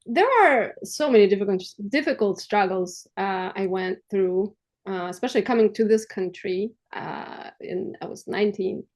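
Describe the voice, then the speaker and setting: A woman from Armenia, raised in Russia, speaking English as a guest, earnest and halting, sharing her story with light laughter. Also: mid-range voice, a little mellow. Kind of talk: personal testimony in a conversation.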